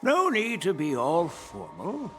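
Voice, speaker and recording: A man's voice: sits high, wildly swooping pitch, takes it slowly, a bit bright, full character voice. An actor playing a genial, easygoing elderly male general who chuckles and avoids taking sides, in a game cutscene. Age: older. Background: background music.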